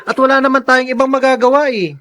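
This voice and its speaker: A level-headed Filipino family man in a radio drama. His voice is high for a man, and he talks in a practical, concerned way. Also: fairly even intonation, quick pace, pushed and bright. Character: level-headed relative urging family to evacuate.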